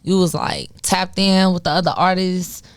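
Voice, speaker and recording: A woman's voice: on the low side, fairly even intonation, moderate pace, clean tone, no echo. A woman from Chicago hosting a casual radio interview, upbeat and affirming, chatting freely with her guest.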